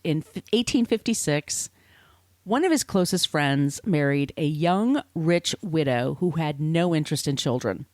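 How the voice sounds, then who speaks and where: Lively, irreverent woman podcast host. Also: sits low, unhurried, no room sound, studio quality. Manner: irreverent, casually sweary. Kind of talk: conversational storytelling from researched notes.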